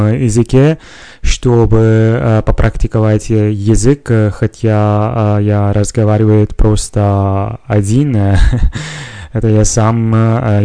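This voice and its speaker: A man's voice: lowish voice, warm tone, clean tone, no echo. A man from Italy with an Italian accent, a non-native speaker hosting a solo podcast, talking hesitantly with many filler sounds. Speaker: podcast host. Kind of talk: solo podcast monologue in a learned language.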